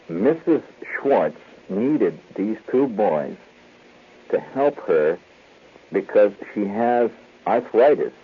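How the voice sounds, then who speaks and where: A man voicing an excitable, slangy American teenage schoolboy buddy in a hushed, conspiratorial way for a radio story. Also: lowish voice, slightly rough, voice pushed down, full character voice. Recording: tinny band-limited old radio broadcast.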